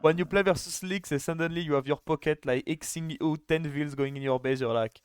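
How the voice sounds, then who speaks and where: A man's voice: fairly high pitch, brisk, smooth, dry recording. A French man casting a strategy game in casual chat, laughing often and restarting his sentences. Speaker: game caster.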